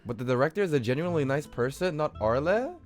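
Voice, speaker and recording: A man's voice: a little high, lively intonation, fast talker, faint music behind. A man streaming a game, commenting casually with swearing, repeated words and blurted exclamations. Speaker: game livestreamer reacting to story.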